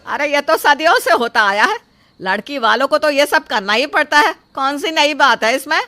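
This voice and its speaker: High, sharp-voiced haughty mother-in-law played by a woman. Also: lively intonation, normal speed, faint music behind. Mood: indignant, scornful. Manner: condescending, bossy. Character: greedy, haughty middle-aged mother-in-law, scolding and demanding. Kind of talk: dramatised Hindi moral story acting.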